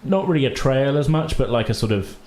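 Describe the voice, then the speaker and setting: A man's voice: mid-range voice, expressive, fairly quick, a little mellow, slight background noise. A man hosting and game-mastering a tabletop roleplay podcast in an informal, casual, slightly hesitant way, rephrasing as he goes.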